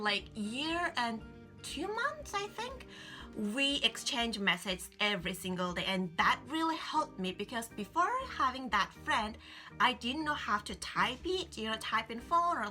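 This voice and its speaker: Bright chatty woman, English. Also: medium pitch. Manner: chatty, many fillers like "like" and "you know". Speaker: vlogger. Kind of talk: casual advice vlog. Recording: faint music behind.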